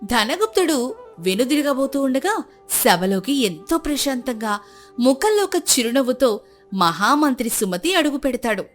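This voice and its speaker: A woman storyteller narrates a scripted folk tale. Her voice sits a little high, and soft music runs behind it. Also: big pitch swings, a little slow.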